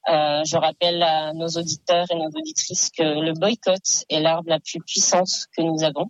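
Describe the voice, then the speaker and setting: A woman activist speaking earnestly in a studio radio interview, halting often with fillers. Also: deep voice, flat delivery, no room sound, dull audio. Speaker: activist guest.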